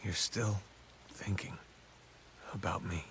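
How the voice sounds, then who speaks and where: Low-voiced, weary, resolute man. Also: fairly even intonation, takes it slowly, heavy background noise. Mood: sorrowful. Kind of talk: English video game dub drama.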